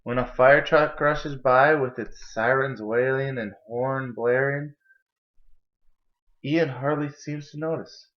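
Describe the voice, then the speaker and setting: A young man reads a children's picture book aloud, narrating at a medium pitch. The recording is dull and lo-fi, picked up indoors by a camera a short way off. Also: fairly even intonation, takes it slowly. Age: young adult. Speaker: storybook reader. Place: indoor kitchen and living room.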